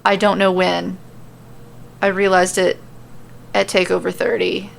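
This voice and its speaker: A woman narrating a story as podcast host, expressive and casual, on a slightly noisy recording. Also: lowish voice, a little slow, gravelly.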